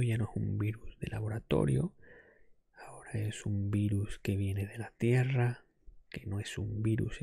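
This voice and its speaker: A man speaking in a soft, breathy half-whisper, rambling casually in an ASMR podcast style close to the microphone. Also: fairly low pitch, no room sound. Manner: hushed, rambling, opinionated. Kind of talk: ASMR, casual unscripted monologue on video games.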